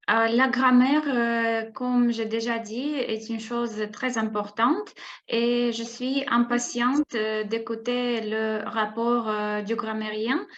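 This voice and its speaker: Slow-spoken woman on dull lo-fi audio. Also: on the high side. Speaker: general evaluator chairing the reports. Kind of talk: formal meeting introduction.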